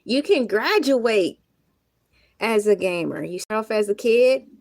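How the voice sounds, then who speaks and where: A woman hosting a casual livestream, talking earnestly to her viewers with a slightly hoarse voice. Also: on the high side, expressive, measured pace, darkish tone, dry recording, clean studio sound. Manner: chatty, earnest, reflective, laughs often. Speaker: livestream host.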